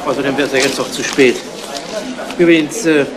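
A man playing a seasoned, unhurried middle-aged police detective in a 1970s radio crime drama, talking in a dry, colloquial way. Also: medium pitch, fairly even intonation, crowd noise, natural voice. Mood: reminiscing. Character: seasoned, unhurried veteran police detective, jaded and matter-of-fact. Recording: noisy old radio play recording.

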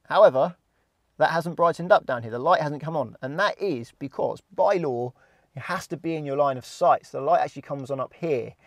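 A man's voice: fairly high pitch, brisk, dry recording, clean studio sound. A man in his thirties with a British accent, explaining things step by step as a driving instructor in plain, informal words. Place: inside a parked car. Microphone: lapel mic.

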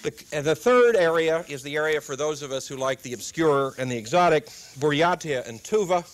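A man's voice: fairly high pitch, lively intonation, brisk. Wry man giving a lecture. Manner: dry wry humor, quotes with comic timing. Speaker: guest speaker. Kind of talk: public lecture. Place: lecture room, indoors. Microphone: desk microphone.

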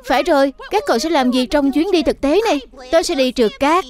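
A woman voicing a friendly, excitable young animal schoolchild in a children's cartoon dub. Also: high voice, fast talker. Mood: excited, friendly. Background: background music.

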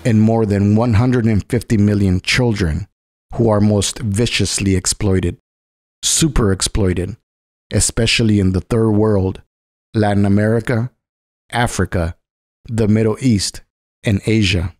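A man reading scripted political narration in a didactic, measured way. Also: lowish voice, fairly even intonation, darkish tone, no echo, studio quality.

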